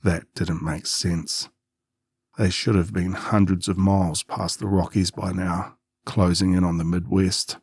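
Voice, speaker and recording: Deep, husky male horror narrator. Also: little pitch movement, unhurried, darkish tone, dry recording, studio quality. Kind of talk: scripted first-person creepypasta narration.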